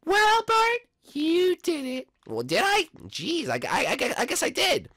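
A woman's voice: very high voice, wildly swooping pitch, unhurried, a bit bright, no echo, studio quality, heavily acted. A woman voicing a bossy, confident young girl who keeps encouraging her brother, in a homemade cartoon play.

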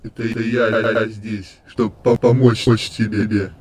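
A man's voice: on the low side, level tone, normal speed, a little mellow, lightly acted. A man voicing a deranged skeleton destroyer in an amateur comic dub, cheerfully menacing, stuttering and repeating words like a glitch. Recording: clear background noise.

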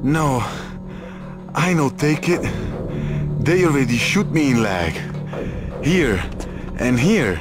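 A wounded Italian man from a video game. He rambles slowly in broken English, his accent strong and his pitch swinging. Music plays behind him. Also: very animated. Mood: pleading.

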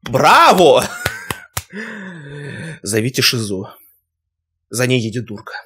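A man's voice: medium pitch, very animated, takes it slowly, bright, forceful tone, a little hiss or hum. A young man giving casual reaction commentary in an exclamatory, slangy way with mild swearing. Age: young adult. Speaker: reaction video host. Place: small room at home, indoors.

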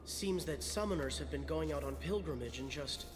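An actor playing a blunt, plain-spoken young guardian, a protective younger brother in a fantasy video game. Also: male voice, fairly high pitch, animated, moderate pace, over music, natural voice. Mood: wary. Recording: noisy game ambience behind.

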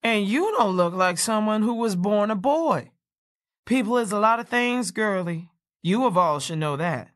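A woman's voice: medium pitch, animated, a little slow, lightly acted. A woman playing a brash, foul-mouthed, tough American character in an audio play, with salty, combative talk. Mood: indignant. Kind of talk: staged audio play dialogue.

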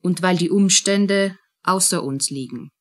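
A woman reading scripted narration in a measured, composed manner. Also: lowish voice.

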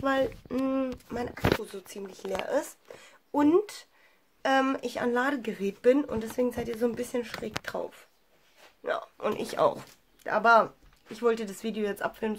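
A woman's voice: a little high, a little hiss or hum. A young woman with a cold, chatting enthusiastically about her makeup with lots of fillers, recorded by a camera in a small room at home. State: has a cold. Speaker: beauty vlogger. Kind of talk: casual makeup review.

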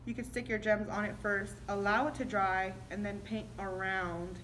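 A woman's voice: medium pitch, little pitch movement, moderate pace, fairly bright tone, a little hiss or hum. A young woman chattily explaining a craft step by step in a casual tutorial, slightly muffled by a face mask. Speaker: craft video host. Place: indoor room with decorated backdrop.

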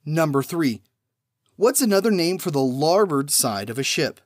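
A man with a fairly high voice reads trivia questions one after another, each followed by a pause and the answer. He speaks in a measured, orderly way. The sound is close and dry, with no room around it. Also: very animated, no echo. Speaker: trivia podcast host.

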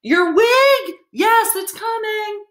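A woman voicing a loud colonial man in a storybook, bellowing warnings in drawn-out calls. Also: animated, roomy sound, exaggerated voice. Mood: loud, urgent. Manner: booming, drawn-out calling.

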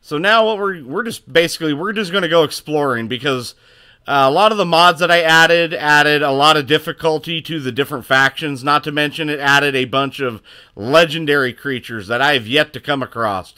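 A man streaming live gameplay, talking loud and excitably, with a booming voice, cursing at the game and answering chat. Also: a little fast, clear, resonant tone. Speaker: gaming streamer.

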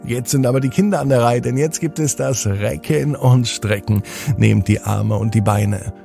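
A man's voice: medium pitch, normal speed, creaky, mellow, soft background music. A man hosting a children's podcast, narrating a bedtime story expressively and speaking directly to children.

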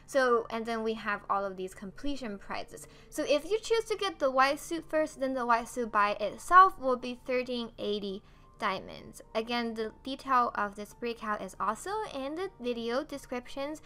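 High, bright-voiced woman guide host. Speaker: game guide video host. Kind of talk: casual explanatory narration of a game guide.

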